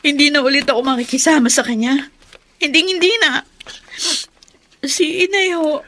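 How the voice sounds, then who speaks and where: A woman's voice: high-pitched, steady tone, sharp tone. An actress in a melodramatic radio drama playing a young wife who is hurt, emotional and defiant about leaving her husband. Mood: hurt, weary. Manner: emotional, fast when upset, pleading.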